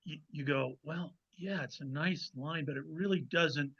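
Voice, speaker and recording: A man's voice: a little high, measured pace, a bit bright, breathy edge. A man speaking as an author guest in casual podcast conversation, on a slightly lo-fi recording. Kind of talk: casual interview chat. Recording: slightly dull, lo-fi audio.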